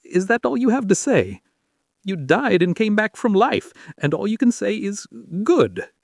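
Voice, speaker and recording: A man's voice: sits high, very animated, unhurried, gravelly, no echo, studio quality, voice pushed up, exaggerated voice. A man voicing a fierce, anguished king in love in a dramatic story, impassioned and pleading.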